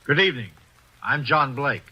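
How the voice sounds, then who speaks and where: An actor playing a nervous, secretive middle-aged bank president in a 1950s radio drama, halting and hesitant. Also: male voice, mid-range voice, expressive, noisy background, close to an everyday voice.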